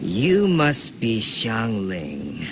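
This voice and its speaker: Lively, earnest hunters' leader. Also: male voice, lowish voice, drawn-out words, noisy background, full character voice. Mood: stern. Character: brash, earnest village hunters' leader, grown man. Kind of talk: video game voice acting. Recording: game music behind.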